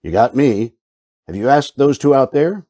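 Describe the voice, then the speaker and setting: A man's voice: medium pitch, expressive, normal speed, dark tone, natural voice. A man playing a wry, world-weary private eye, narrating dryly in an old-time radio noir style. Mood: businesslike. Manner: dry, sardonic. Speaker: lead actor and narrator.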